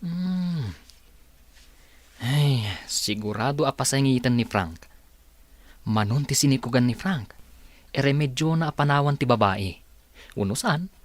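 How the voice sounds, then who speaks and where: Casting: actor playing male family-drama role, average pitch, talky, questioning radio-play delivery. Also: expressive, measured pace, pauses often, no echo. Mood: casual. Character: man in a family melodrama. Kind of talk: radio-style drama acting.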